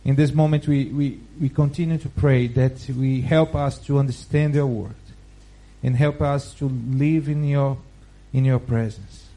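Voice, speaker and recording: A man with a Brazilian Portuguese accent, Portuguese his first language, reading scripture aloud and preaching with slow, careful, halting phrasing. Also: mid-range voice, fairly even intonation, mellow, low-quality recording. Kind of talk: Bible reading and sermon.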